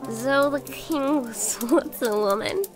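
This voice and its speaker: Casting: woman as young fantasy adventurer, very high voice, curious, teasing, faint music behind. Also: slow. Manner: inquisitive, playful. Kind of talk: visual novel character acting.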